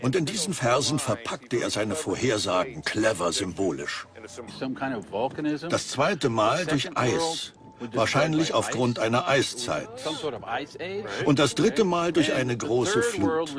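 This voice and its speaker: A man's voice: mid-range voice, very animated, slow pace, a crowd in the background. A man delivering scripted expert explanations as a documentary voice-over.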